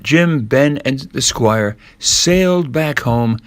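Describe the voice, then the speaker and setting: An older man narrating a storybook in a measured read-aloud manner, with the odd stumble. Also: medium pitch, expressive, over loud music.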